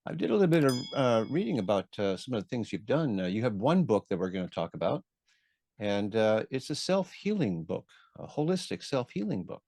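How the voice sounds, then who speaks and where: A man's voice: on the low side, big pitch swings, a little fast, a little mellow, no echo. A man hosting an interview, asking questions in a hesitant way with many fillers. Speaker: interview host.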